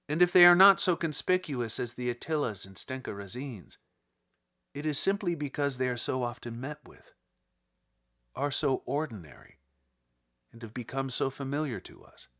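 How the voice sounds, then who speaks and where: A man narrating a literary audiobook, a first-person monologue, with rhetorical, emphatic and deliberate phrasing. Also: fairly high pitch, fairly bright tone. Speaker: audiobook reader.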